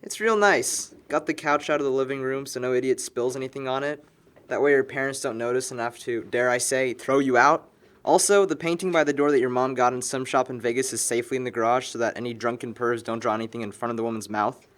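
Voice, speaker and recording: A man's voice: medium pitch, quick pace, fairly bright tone, natural voice. A young man playing a sarcastic, exasperated best friend in a casual audio drama. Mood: brisk, teasing. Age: young adult.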